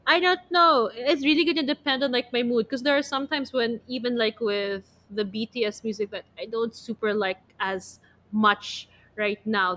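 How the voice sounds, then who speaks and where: Podcast co-host: young Filipino woman, sharp forward tone, higher register, chatty and rambling, casual delivery. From Philippines. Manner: chatty, rambling, heavy use of "like". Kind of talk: casual chat about pop music.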